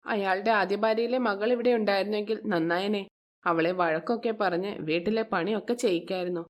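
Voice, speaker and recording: A woman voicing a bitter, resentful middle-aged stepmother in a moral story, with a sharp, complaining, sarcastic manner. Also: medium pitch, fairly even intonation, normal speed, lightly acted. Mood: resentful, self-pitying.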